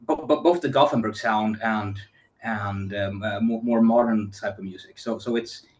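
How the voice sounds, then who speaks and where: A man in a band describing his musical mix, halting and repeating words. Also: lowish voice. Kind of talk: casual interview answers.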